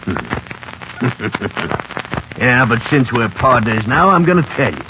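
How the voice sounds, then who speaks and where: An actor playing a smug crooked land-grabber in a 1950s radio western, with folksy, slangy tough talk. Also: male voice, mid-range voice, sing-song, takes it slowly. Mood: gloating. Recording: muffled, noisy, crackly 1950s radio recording.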